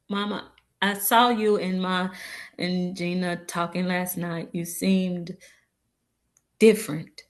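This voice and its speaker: Woman as a slow, hesitant young village daughter. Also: fairly low pitch, flat delivery. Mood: concerned. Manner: hesitant, halting, with repeated words. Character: earnest, playful young village daughter, curious and dutiful. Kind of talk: staged script read of a drama.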